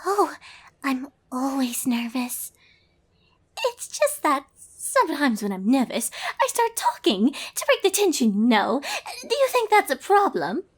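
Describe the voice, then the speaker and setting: A woman plays a nervous young lady pony in a storybook tale. Her voice is high and swoops widely, with fretful, theatrical stammering and a refined air. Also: big pitch swings, measured pace. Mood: nervous rambling.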